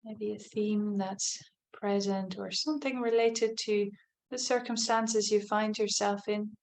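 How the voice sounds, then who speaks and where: Casting: female meditation guide, mid-range voice, gentle and unhurried, softly inviting delivery with spacious pauses for a body scan practice. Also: medium pitch, steady tone. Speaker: meditation teacher.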